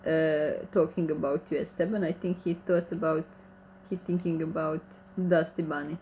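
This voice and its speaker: A woman's voice: low voice, little pitch movement, normal speed, muffled recording, slight background noise. A woman with a Croatian accent, who speaks Croatian natively, hosts a casual livestream Q&A. She reads viewer comments aloud and answers them in friendly, slightly broken English. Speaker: channel host reading chat questions.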